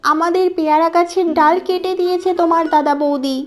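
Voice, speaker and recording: A woman's voice: very high voice, steady tone, fast talker. A woman voicing a quarrelsome, easily annoyed village housewife in a cartoon. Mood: indignant. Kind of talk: Bengali cartoon voice acting. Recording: dull audio with music underneath.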